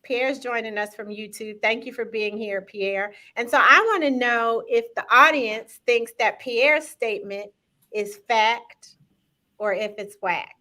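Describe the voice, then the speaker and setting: Woman host, upbeat and engaging, bright and fairly high voice, speaks directly to viewers. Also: a little slow. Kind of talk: live-streamed talk show segment.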